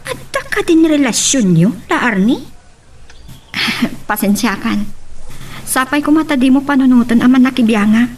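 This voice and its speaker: A woman's voice: sits high, sing-song, moderate pace, long pauses, far from the mic, slight background noise. A woman playing a concerned, prying young friend in an Ilocano love-story audio drama. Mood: concerned, probing.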